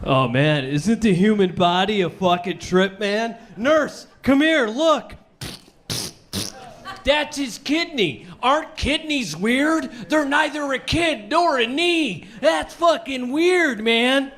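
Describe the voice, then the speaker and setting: A giddy surgeon on mushrooms drawls in wonder at the body on his table, as a man puts him on. The voice runs high and swoops up and down. He sounds loose and delighted, like a comedy bit. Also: expressive, takes it slowly, a bit bright, exaggerated voice. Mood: awestruck. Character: stoned male surgeon, giddy and awestruck.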